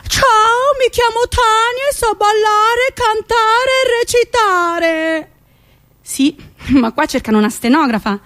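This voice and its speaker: A woman's voice: very high voice, expressive, slow, a bit bright, heavily acted. An actress playing a vain, chirpy young showgirl hopeful in a satirical stage comedy, gushing and exaggerated. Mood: chirpy, coquettish.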